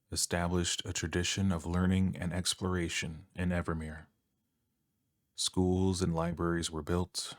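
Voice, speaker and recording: Soft-spoken deep man narrating bedtime ASMR. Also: no echo, clean studio sound. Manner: calm, soothing, measured storybook delivery.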